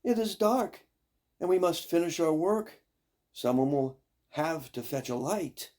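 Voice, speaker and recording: A man's voice: on the high side, measured pace, darkish tone, pitched above the performer's own voice, natural voice. An older man voicing selfish young stepsisters in a storybook, emphatic, whining and petulant. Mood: alarmed, bossy.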